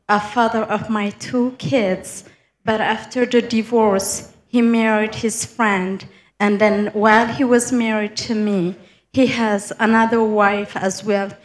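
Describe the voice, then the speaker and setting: A woman, a congregant, calmly recounting her marriage history in a measured way. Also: a little high, poor audio. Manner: calm, measured testimony.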